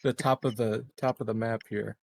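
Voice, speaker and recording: Male game player, average register, loose halting chat with repeated words. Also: medium pitch, level tone, vocal fry. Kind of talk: casual chat.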